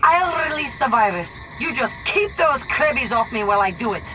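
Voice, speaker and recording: A woman's voice: on the high side, lively intonation, normal speed, music in the background, natural voice, low-quality recording. Actress as a cool, commanding Soviet spy woman. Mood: brisk, assured. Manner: cool, no-nonsense. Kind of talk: video game acting.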